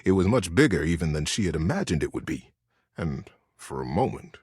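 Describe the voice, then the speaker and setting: Highly expressive male storyteller, dry sound. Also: fairly quick, slightly rough, dry recording. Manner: gentle suspense, expressive emphasis. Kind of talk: dramatic children's storybook narration.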